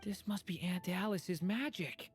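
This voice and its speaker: A woman voicing a young dragonborn boy in a video game, polite, earnest, innocent and soft-spoken. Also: on the low side, unhurried, over music, lightly acted. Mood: wondering. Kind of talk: animated video game voice acting.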